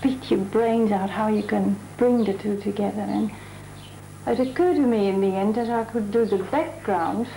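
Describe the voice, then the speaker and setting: Chatty dutch-born woman artist, gravelly and mellow, stops to think mid-sentence. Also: medium pitch, a little hiss or hum, rough recording. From Netherlands. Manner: thoughtful, pausing to find words. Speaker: mural artist. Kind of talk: documentary interview.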